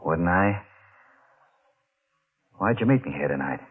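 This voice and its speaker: A man playing a haunted, guilt-ridden deep-sea diver in an old-time radio thriller, brooding and menacing in halting, clipped phrases. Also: animated, breathy edge, heavily acted. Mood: cold, scheming. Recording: muffled, noisy vintage radio recording.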